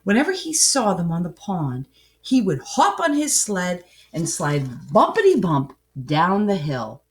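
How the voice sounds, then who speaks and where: Slow, very expressive woman storyteller. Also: on the low side. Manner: expressive, deliberate phrasing. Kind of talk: children's picture book read-aloud.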